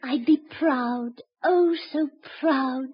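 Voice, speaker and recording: An actress playing a coaxing young bride with a sly, wheedling streak in an old-time radio drama, pleading theatrically. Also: female voice, high-pitched, slow. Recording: dull, lo-fi old radio recording.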